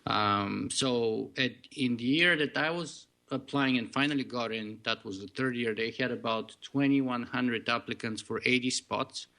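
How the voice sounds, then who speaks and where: Bright-voiced man with a thick Bulgarian accent. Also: mid-range voice, unhurried, hardly any pauses. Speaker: podcast guest. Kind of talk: interview, telling his story.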